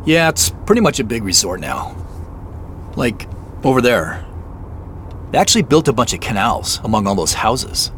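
An actor playing a reflective, self-doubting man in a naturalistic audio drama, speaking in a halting, understated way. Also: medium pitch, sing-song, a bit bright, slight background noise. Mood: casual, informative.

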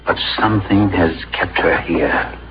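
Kindly older gentleman, forgiving and grave, deep voice, radio play, dull vintage sound. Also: a little slow, heavy background noise. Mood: sorrowful. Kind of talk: 1950s radio drama acting. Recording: muffled old radio broadcast, music behind.

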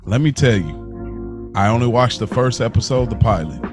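Mid-pitched American man in casual chat. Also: lively intonation, moderate pace, music in the background. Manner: slangy, informal. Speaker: podcast co-host.

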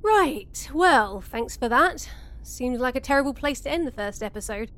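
High-voiced, bossy, impatient woman. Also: expressive, normal speed, fairly bright tone. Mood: brusque, worked up. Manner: brusque, emphatic. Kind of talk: audio comedy drama.

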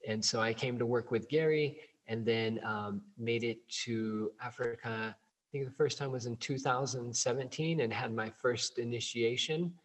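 A man who works as a plant medicine provider, answering interview questions in a relaxed way, full of ums and you-knows. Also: mid-range voice, moderate pace. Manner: relaxed, many fillers like um and you know. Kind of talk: interview answers over a call.

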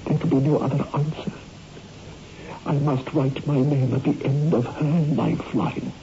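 An actor playing a tormented, brooding surgeon with agitated, melodramatic delivery in a 1960s radio horror drama. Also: male voice, medium pitch, steady tone, normal speed, dark tone, natural voice. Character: tormented male surgeon obsessed with death at his hands. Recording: muffled, noisy old radio recording.

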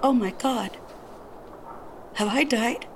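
A woman's voice: a little high, takes it slowly, background noise. A woman playing a bewildered, sarcastic, foul-mouthed waitress near breakdown in a comedy audio drama. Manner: sarcastic, exasperated.

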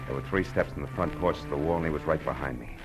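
An American actor playing a tough, wry private detective in a 1940s-style radio drama, with clipped, sardonic, slangy delivery. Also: male voice, extremely low pitch, fast, music in the background. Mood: tense, dry narration. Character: tough, wry male private detective narrating his case. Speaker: radio actor, lead and narrator. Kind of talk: hard-boiled 1940s radio drama acting. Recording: noisy vintage radio recording.

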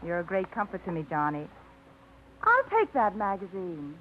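A woman's voice: on the low side, big pitch swings, moderate pace, slight background noise. An actress playing a wry, independent young woman tired of routine, with a dry wit, in a 1950s radio drama. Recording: tinny old radio broadcast.

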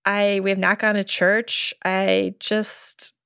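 A woman's voice: medium pitch, level tone, slow, creaky, a bit bright, clean tone, no room sound. Candid woman chatting, close and dry. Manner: candid, self-deprecating. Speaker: podcast guest. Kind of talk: casual chat.

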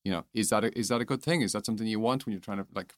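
A man's voice: on the low side, racing, no echo, clean studio sound. A man working as an interviewer, putting questions in a conversational way.

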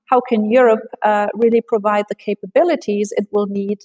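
A woman speaking as an expert guest in an interview, answering in a measured, analytical way with frequent fillers and restarts. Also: mid-range voice, fairly even intonation, a little mellow.

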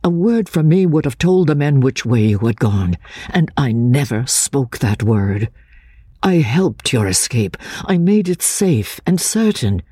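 A woman as an earnest, husky-voiced Victorian gentleman. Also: extremely low pitch, sing-song, no room sound, clean studio sound, full character voice. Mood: urgent reassurance. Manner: measured, deliberate, persuasive. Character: earnest, cautious gentleman narrator, gently coaxing and reassuring. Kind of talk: dramatic audiobook reading of classic literature.

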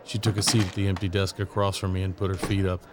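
A man's voice: low voice, level tone, fast, background noise, natural voice. A man playing a demanding, wry task force leader in a scripted audio drama, in dialogue and narration. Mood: narrating, serious.

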